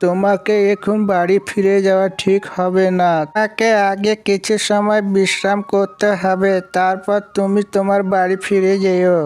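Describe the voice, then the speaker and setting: A man's voice: high-pitched, flat delivery, moderate pace, few pauses, darkish tone, smooth, soft background music, close to an everyday voice. A man playing a kind, caring village passerby in a cartoon moral story, speaking with concern.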